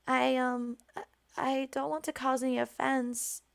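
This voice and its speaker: Woman playing a timid, stammering, soft young maid. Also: sits high, level tone, unhurried, vocal fry, voice pushed up. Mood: meek. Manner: soft, stammering, hesitant pleading. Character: timid, apologetic young maid, meek and defeated.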